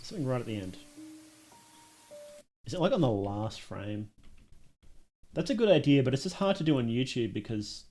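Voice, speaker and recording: A man with a mid-pitched voice gives casual livestream commentary as host. He thinks aloud and addresses his viewers informally, swearing mildly now and then. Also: sing-song, normal speed, pauses often, darkish tone, soft background music. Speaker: livestream host reacting to and judging short films.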